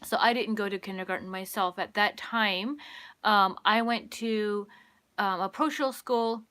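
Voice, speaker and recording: A woman whose first language is Pennsylvania Dutch, hosting a casual livestream from a room at home, talking in an unhurried, hesitant way full of ums and restarts. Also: mid-range voice, sharp tone. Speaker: livestream host. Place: home room with sunlit curtains.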